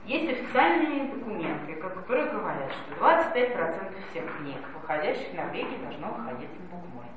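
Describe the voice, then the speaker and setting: A woman's voice: fairly low pitch, big pitch swings, brisk, hardly any pauses, crowd noise. Woman lecturing in an echoey hall. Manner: explanatory, addresses listeners directly. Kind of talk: spoken lecture on language policy. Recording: echoey distant lo-fi hall recording with noise.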